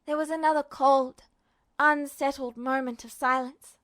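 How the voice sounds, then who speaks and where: A woman reading fiction aloud as a narrator, with expressive, dramatic storytelling. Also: sits high, a little slow. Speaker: amateur audiobook narrator.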